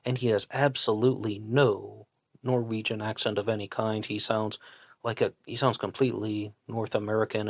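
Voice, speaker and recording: A man's voice: lowish voice, no echo. A man from West Virginia with a faint West Virginian accent, hosting a true crime podcast and talking it through casually, hedging and restarting as he thinks aloud. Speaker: podcast host.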